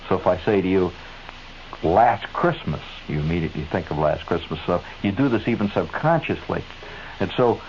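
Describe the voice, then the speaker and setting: A man's voice: on the low side, a little mellow, highs cut off. A middle-aged American man hosting a radio show, telling a wry, digressive monologue and tossing asides to the studio crew. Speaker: radio host. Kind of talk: rambling late-night radio monologue. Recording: hissy 1970s AM radio broadcast.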